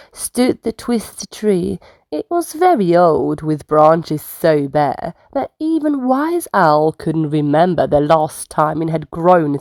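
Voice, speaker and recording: A woman reading bedtime stories aloud to young children in an expressive, unhurried storytelling manner. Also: on the low side, creaky, no echo.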